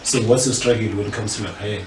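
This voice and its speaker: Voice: mid-range voice, fast, distant mic. South African host in an echoey room. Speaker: talk show host. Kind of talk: casual chat interview, mixing English with local language. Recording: roomy echo, off-mic, faint noise.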